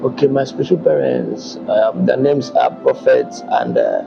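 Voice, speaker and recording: A male prophet from Gabon answering interview questions in a measured way, pausing often with ums. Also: fairly low pitch, wildly swooping pitch, creaky, muffled recording, music in the background. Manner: measured, hesitant with many ums.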